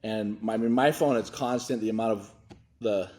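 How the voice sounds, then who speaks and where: Earnest halting man in his forties. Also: lowish voice, darkish tone. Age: 40s. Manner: earnest, halting, restarts a lot. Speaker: nonprofit foundation director, guest. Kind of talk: candid interview talk.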